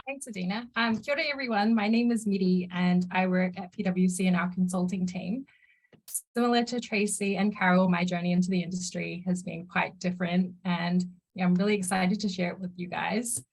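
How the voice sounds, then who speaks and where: A woman's voice: fairly low pitch, fairly even intonation, brisk, low-quality recording. A young woman in her twenties, a mentee from New Zealand, sharing her career story in a friendly, eager way. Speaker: mentee and consultant.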